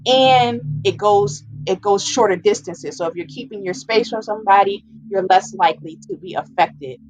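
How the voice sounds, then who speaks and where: A woman's voice: medium pitch, normal speed, darkish tone, low-quality recording. A woman giving an informal, explanatory public health presentation, stressing and repeating her key points as an educator.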